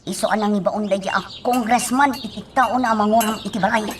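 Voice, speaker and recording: A woman's voice: mid-range voice, steady tone, a little fast, background noise, natural voice. A woman playing an indignant, outspoken young villager in a radio drama, speaking with earnest emphasis. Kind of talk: Ilocano radio drama acting.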